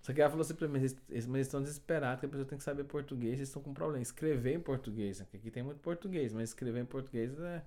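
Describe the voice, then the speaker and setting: A Brazilian man casually telling a story about job hunting as a new immigrant, animated and laughing often. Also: mid-range voice, racing, few pauses. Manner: animated, laughing, many tag questions.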